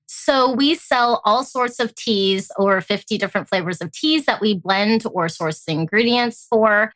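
A woman with an American accent, chatting casually as an interview guest and telling stories in an animated way. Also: medium pitch, no echo. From born in Hong Kong, grew up in Alaska. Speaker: guest, tea company founder.

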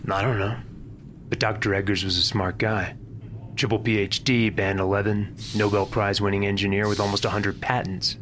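Man acting a deep-voiced, measured investigator, noisy room. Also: flat delivery, natural voice. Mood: probing. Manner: polite, measured, inquisitive. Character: composed, probing male government investigator. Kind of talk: sci-fi audio drama acting. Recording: loud rumbling industrial ambience.

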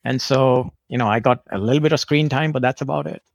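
A man's voice: medium pitch, quick pace, gravelly, no room sound, studio quality. A man from India in his thirties, answering interview questions in a hesitant way with many restarts. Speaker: guest.

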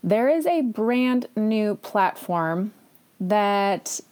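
Woman, podcast host, casual solo talk, chatty, enthusiastic, self-deprecating, mid-pitched voice. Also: animated, very slow, a little mellow, clean tone.

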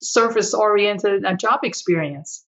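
Older woman, chatty interview guest, pauses a lot and restarts, kinda dull lo-fi sound. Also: mid-range voice. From Taiwan. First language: Chinese. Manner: measured, with frequent fillers and restarts. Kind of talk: reflective conversational storytelling.

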